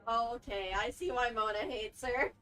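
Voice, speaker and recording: A woman's voice: on the high side, fairly even intonation, a little slow, pushed and bright. A woman game streamer giving casual reactions while she plays.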